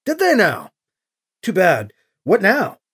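A man's voice: high-pitched, huge pitch swings, slow, a bit bright, husky, full character voice. A man playing a wry, anxious protagonist in an audio story, muttering terse, sardonic thoughts aloud. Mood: wry worry. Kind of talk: audio story character acting.

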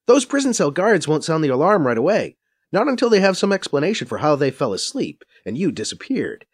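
A man's voice: a little high, brisk, pitched above the performer's own voice. A man voicing a brash, profane female undercover agent who teases and swears freely, in an audiobook. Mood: brisk, explaining. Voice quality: raised, lively, cocky voice. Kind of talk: audiobook character voice.

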